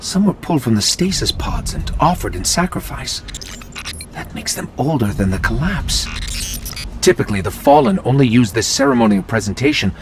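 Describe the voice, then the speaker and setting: A man's voice: medium pitch, very animated, moderate pace, gravelly, music in the background. An actor voicing a small, alert robot companion in a video game, earnest and inquisitive as he explains things. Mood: curious, dry.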